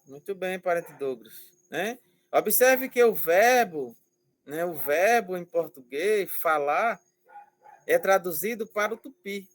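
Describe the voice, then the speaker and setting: A male language teacher explaining slowly and patiently over a video call, repeating words and checking that students follow. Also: sits high, expressive, dry recording. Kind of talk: online class explanation.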